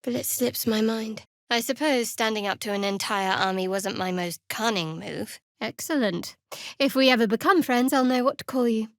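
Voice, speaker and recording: A woman playing a guarded, sardonic young cleric of a dark goddess in a fantasy video game, with a dry, measured, wry delivery. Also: on the high side, no room sound, lightly acted. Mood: soft, reflective, then teasing, calm. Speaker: video game companion character. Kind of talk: scripted fantasy game voice acting.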